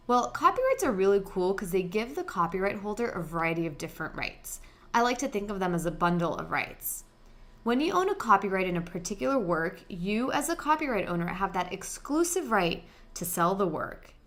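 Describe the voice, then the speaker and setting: A woman's voice: medium pitch, fairly bright tone. A young adult woman hosting a podcast, explaining legal topics step by step in a friendly, direct way with a soothing voice. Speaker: podcast host, lawyer.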